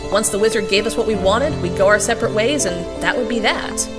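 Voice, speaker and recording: Woman as a feisty young heroine over loud music. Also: medium pitch, a little fast, lightly acted. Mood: wry narration. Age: young adult. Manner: snappy, sardonic. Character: feisty, sarcastic young woman, reluctant adventurer. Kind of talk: audio drama acting and first-person narration.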